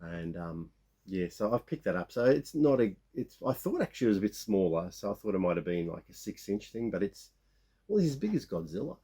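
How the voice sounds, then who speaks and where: A man in his fifties with a croaky sore-throat voice, rambling casually as he shows off his toy finds. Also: on the low side, very animated, brisk, mellow. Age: 50s. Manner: rambling, many restarts and fillers. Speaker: toy collecting vlogger. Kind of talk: casual show-and-tell of toy haul.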